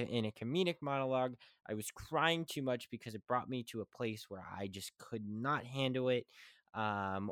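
Fast-talking young man, stumbling over words. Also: medium pitch, fairly bright tone, no room sound, clean studio sound. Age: young adult. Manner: fast, stumbling, restarting often. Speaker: podcast host. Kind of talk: casual talk about acting.

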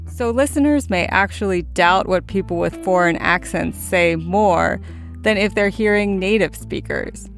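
A woman hosting a science podcast, reading scripted narration in a measured, explanatory way. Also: medium pitch, faint music behind. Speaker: podcast host.

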